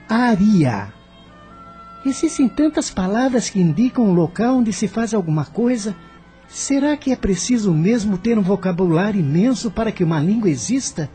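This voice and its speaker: A woman playing a dreamy young male student who thinks aloud in a deliberate, musing way in a radio drama. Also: medium pitch, dark tone. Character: young male student Lázaro, dreamy, pensive inventor of a language.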